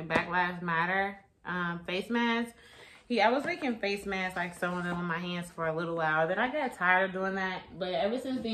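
A young woman chatting casually and informally to camera, her voice a little raspy and tired. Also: mid-range voice, a bit bright, slight background noise. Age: young adult. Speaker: vlogger. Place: small room at home, indoors.

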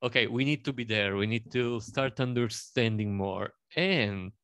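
A man in his thirties from Italy speaks with an Italian accent, his voice smooth and resonant, recorded dry and close. He talks in a halting way, with lots of fillers and repeated starts. His pitch sits in the middle of the male range. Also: a bit bright, no room sound. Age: 30s. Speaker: panel guest. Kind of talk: self-introduction on a panel.

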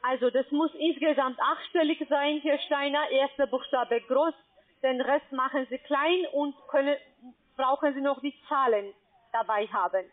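Lady phone agent, broken grammar, high voice, phone-line sound. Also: moderate pace. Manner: instructing step by step, broken grammar. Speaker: scam call center agent. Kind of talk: phone call.

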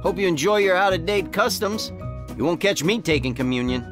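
A man's voice: high-pitched, expressive, brisk, music in the background. An actor playing a proud, stubborn middle-aged salesman who scorns religion, in a radio drama. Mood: scornful.

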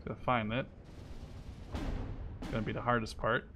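A man in his twenties giving casual, sweary gameplay commentary, thinking out loud as he plays. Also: medium pitch, flat delivery, moderate pace, music in the background. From Netherlands. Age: 20s. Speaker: video game streamer. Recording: gunfire and explosions from game behind. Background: war game artillery and gunfire.

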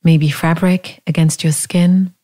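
A woman leading a guided body scan meditation in a calm, gentle, unhurried manner, leaving long pauses. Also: fairly low pitch.

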